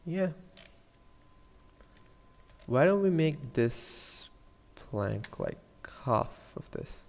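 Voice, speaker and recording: A man with a Sri Lankan accent giving a casual software tutorial, thinking aloud in a hesitant murmur as he works. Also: medium pitch, sing-song, extremely slow, creaky, long reverb tail. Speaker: 3D animation tutorial streamer.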